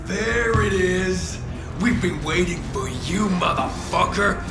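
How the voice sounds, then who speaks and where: Furious threatening man, high shouted voice, raspy fry, game drama, echo, loud music. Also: expressive, slow, full character voice. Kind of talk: shouted video game acting.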